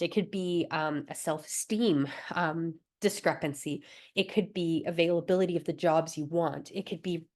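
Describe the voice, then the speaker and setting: Encouraging Canadian woman workshop presenter. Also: on the low side. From Alberta, Canada. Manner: warm encouraging coaching, frequent light laughs and fillers. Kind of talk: recorded wellbeing workshop talk.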